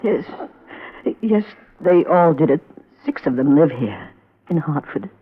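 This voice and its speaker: A grieving mother in a fifties radio drama. Her husky voice is anxious and halting, fretting over her words. The vintage recording is very thin and narrow, like a telephone. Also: low-pitched, lively intonation, exaggerated voice. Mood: worried, sorrowful. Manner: halting, fretful, repeats words. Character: anxious, grieving parent of an adult daughter. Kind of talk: 1950s American radio crime drama acting.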